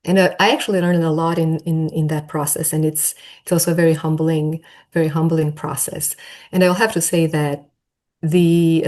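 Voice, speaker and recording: A woman's voice: deep voice, a little mellow. A woman from Romania with a Romanian accent, an academic guest giving reflective, conversational interview answers and correcting herself as she goes. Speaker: podcast guest, architecture professor.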